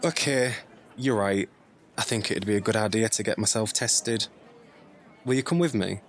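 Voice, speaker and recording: An actor playing a curious, concerned young nephew in an audio drama, talking in an inquisitive, sympathetic way. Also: male voice, lowish voice, moderate pace, slight background noise, lightly acted. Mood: hesitant, questioning. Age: young adult. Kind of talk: scripted audio drama dialogue.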